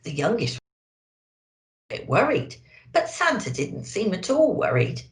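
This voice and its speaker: A woman's voice: mid-range voice, wildly swooping pitch, unhurried, creaky. A woman reading a children's story expressively, as if talking to young listeners. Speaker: storyteller in character as an elf. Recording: roomy echo.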